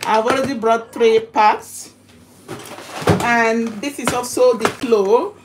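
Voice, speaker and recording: A woman chatting casually to her livestream viewers while unboxing, halting and repeating herself, recorded in a home kitchen. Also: fairly high pitch, fairly even intonation. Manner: halting, repetitive, chatty delivery. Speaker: livestream vlogger. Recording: noisy home recording with clicks.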